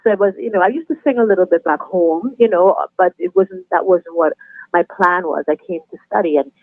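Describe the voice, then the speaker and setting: A middle-aged woman with a Trinidadian accent, chatting warmly and laughing easily as a guest on a podcast interview. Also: fairly low pitch, a little fast, no room sound. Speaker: guest, anthem singer. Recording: tinny phone line, dry.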